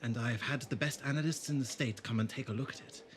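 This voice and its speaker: A man's voice: medium pitch, quick pace, slight background noise, natural voice. A man playing a polite, bashful pottery seller in a fan audio drama, courteous and slightly hesitant. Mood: bashful, wistful. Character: polite, bashful market stallholder selling pottery.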